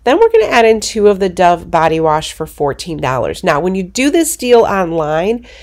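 A congested woman hosting a coupon channel, narrating a screen walkthrough of shopping deals in a brisk, practical way. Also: on the low side, big pitch swings, a little mellow. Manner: explains steps in a brisk, practical way.

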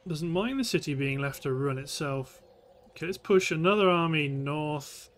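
A man's voice: on the high side, moderate pace, fairly bright tone, slight background noise. A British man giving casual let's play commentary on a strategy game, thinking aloud in short remarks. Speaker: gameplay host.

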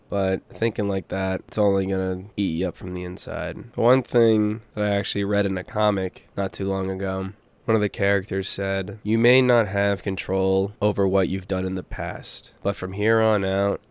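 A young man getting over a cold, slightly congested, speaking in a quiet, earnest and hesitant way in a personal vlog voice-over. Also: low voice, clear, resonant tone, no room sound. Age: young adult. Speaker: gaming YouTuber.

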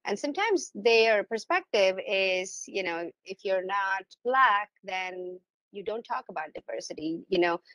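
A woman's voice: mid-range voice, animated, a little slow, a bit bright, no echo, poor audio. A middle-aged woman from India asking a question on a video call, hesitant and searching for words. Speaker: audience member asking a question. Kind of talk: informal question and personal account.

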